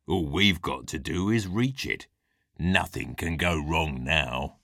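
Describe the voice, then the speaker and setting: A man's voice: rumbling low, expressive, takes it slowly, vocal fry, a bit bright, dry recording, studio quality, heavily acted. A man playing a growly, hearty pirate captain in a children's storybook, with a stage West Country pirate accent and a theatrical, salty manner. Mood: reassuring, sly. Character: gruff hearty old-salt pirate captain, a scheming grown man. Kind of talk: acted children's storybook dialogue.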